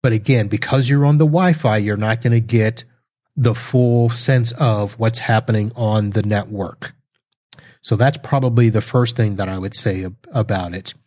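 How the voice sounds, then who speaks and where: A man giving a casual, unscripted product review as a host, explaining things with halting self-corrections. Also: on the low side, a little mellow. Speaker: tech reviewer and podcast host on a live stream.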